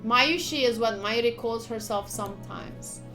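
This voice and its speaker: A young woman streaming a visual novel, reading the text aloud with casual, gushy, expressive commentary. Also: fairly high pitch, measured pace, low-quality recording. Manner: expressive, gushy, slips into cute exclamations. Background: soft game music.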